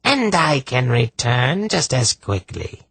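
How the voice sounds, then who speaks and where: A man voicing a malevolent sorceress in a fantasy audiobook, hateful and menacing, with a harsh rasp and archaic, peculiar speech. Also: mid-range voice, very animated, slow, a bit bright, dry recording, voice pushed up, full character voice. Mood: gloating menace. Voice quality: harsh, rasping.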